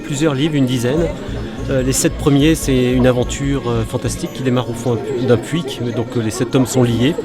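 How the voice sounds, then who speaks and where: A man's voice: mid-range voice, steady tone, moderate pace, music in the background. A man speaking as an author, giving an unscripted presentation of his books.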